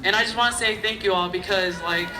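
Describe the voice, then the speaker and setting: A hoarse teenage boy who has lost his voice, giving a casual, impassioned speech at a school protest. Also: sits high, fairly even intonation, fast talker, a little hiss or hum. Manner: casual, lots of "like", builds to shouting. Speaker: student speaker at a protest. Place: outdoor school protest crowd. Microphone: phone camera in the crowd. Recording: far-off phone recording of an echoey crowd.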